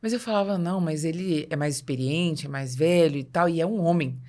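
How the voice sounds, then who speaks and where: A Brazilian woman hosting a podcast interview, telling personal anecdotes in an animated way and acting out dialogue. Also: low voice, fairly quick. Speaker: podcast host and business coach.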